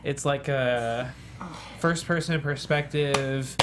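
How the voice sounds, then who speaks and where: A man's voice: medium pitch, steady tone, slow, heavy background noise. A man co-hosting a talk show, chatting casually and giving his opinions freely. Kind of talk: casual chat.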